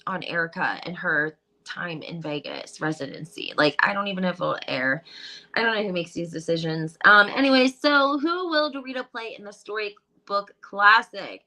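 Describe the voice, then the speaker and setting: A woman hosting a casual gossip vlog, talking in a crisp, bright tone. She is breezy and chatty, reading headlines aloud and filling gaps with ums and likes. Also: medium pitch, poor audio. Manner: chatty, breezy, lots of fillers. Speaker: gossip channel host.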